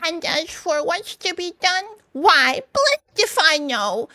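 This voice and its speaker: A woman puts on a small, fussy male mole with a squeaky, strained voice that jumps high above her own. He sounds breathless and grumbling, and the recording is close and dry, with no room sound. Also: sing-song, slow, pushed and bright, dry recording, exaggerated voice.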